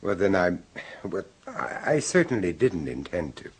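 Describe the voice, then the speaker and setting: A deep-voiced apologetic dinner guest. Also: male voice, big pitch swings, breathy edge, full character voice. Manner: measured storytelling narration, hesitant and apologetic in dialogue. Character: Vincenzo, polite, squeamish male dinner guest, narrator. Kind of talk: 1970s radio drama acting and narration. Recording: old radio broadcast.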